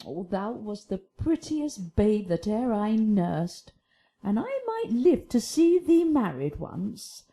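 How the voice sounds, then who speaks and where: Slow, doting older nursemaid. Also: female voice, medium pitch, sing-song, dark tone. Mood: fond, excited. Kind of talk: classical Shakespearean radio acting.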